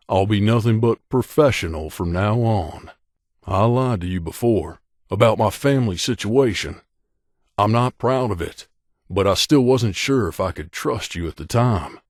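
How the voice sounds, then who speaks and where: Man acting as low-voiced, guarded wasteland mercenary. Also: a little mellow, dry recording, clean studio sound. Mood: earnest, pleading, then guilty, confessional. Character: guarded young male mercenary companion in a post-apocalyptic wasteland, guilty, earnest. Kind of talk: video game companion dialogue, confiding.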